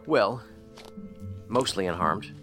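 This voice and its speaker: An actor playing a skeptical federal investigator in a science fiction audio drama, with a dry, understated, sardonic delivery. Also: male voice, lowish voice, lively intonation, slow, fairly bright tone, faint music behind. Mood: wry.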